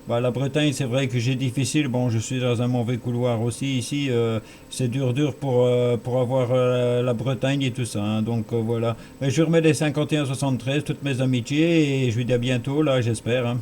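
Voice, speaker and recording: A man's voice: medium pitch, fairly even intonation, moderate pace, few pauses, darkish tone, a little hiss or hum. A man with a Belgian French accent talks casually as a radio operator, often repeating words for emphasis. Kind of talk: casual two-way radio chat.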